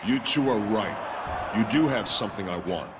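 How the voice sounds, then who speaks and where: A man's voice: lowish voice, animated, normal speed, over a noisy crowd, heavy background noise, close to an everyday voice. An actor playing a cocky, coldly threatening male pro wrestler in a video game cutscene. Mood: menacing.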